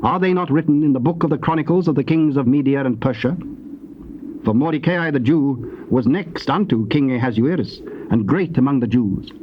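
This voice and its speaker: A man gives a solemn, measured, declamatory narration of scripture. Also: mid-range voice. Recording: dull tape with faint noise.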